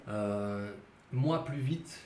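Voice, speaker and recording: A man in his thirties from Switzerland whose first language is Portuguese answers questions with many hesitations and restarts. He sits away from the microphone in a reverberant room, and steady noise hangs behind him. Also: on the low side, very slow. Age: 30s. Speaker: guest, schoolteacher. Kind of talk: casual podcast interview. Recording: echoey room, distant mic, noisy.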